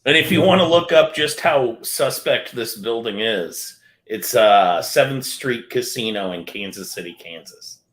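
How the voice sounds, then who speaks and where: A man's voice: mid-range voice, animated, a little slow, fairly bright tone. A man on a panel chat, telling a laid-back story. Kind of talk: casual chat. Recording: roomy echo.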